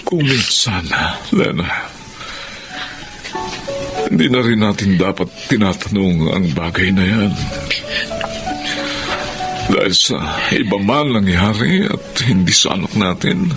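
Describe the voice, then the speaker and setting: A sorrowful Filipino father, middle-aged and gentle, in a radio drama. His voice is creaky and consoling, with music clearly playing behind him. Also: fairly low pitch, expressive, slow pace, long pauses. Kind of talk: Tagalog radio drama acting.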